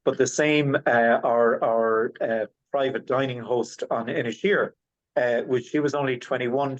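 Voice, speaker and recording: Irish guy from the west, tour guide, lots of ums and restarts, kinda muffled lo-fi sound. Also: medium pitch. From west of Ireland. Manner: rambling, many fillers and restarts. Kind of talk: webinar presentation.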